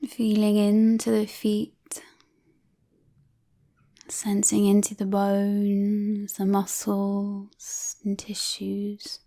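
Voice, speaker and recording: A woman guides a relaxation in a soft, breathy voice that often drops close to a whisper. Her tone is mellow, with a creaky edge. She speaks very slowly and calmly, leaving long pauses between phrases. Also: mid-range voice. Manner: calm, soothing, unhurried with long pauses.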